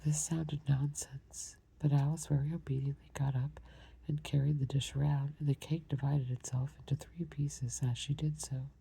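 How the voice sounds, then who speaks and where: A woman reading a storybook aloud in a soft, breathy whisper. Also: low-pitched, flat delivery, normal speed, rough recording. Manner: soft unvoiced whisper throughout. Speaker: storybook reader.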